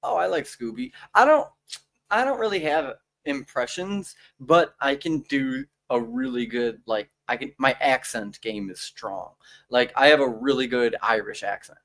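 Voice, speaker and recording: A man's voice: mid-range voice, measured pace, a bit bright. A young man hosting a casual podcast chat, joking and playful, often stumbling and restarting his words. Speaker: podcast host.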